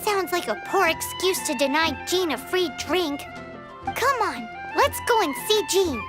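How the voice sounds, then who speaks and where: A tiny floating girl companion, cheeky and blunt. Her voice is very high and squeaky, leaping all over the place, with playful game music tinkling behind her. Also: sing-song, unhurried, heavily acted. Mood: teasing. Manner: blunt, teasing, refers to herself by name. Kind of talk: video game voice acting. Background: light game music.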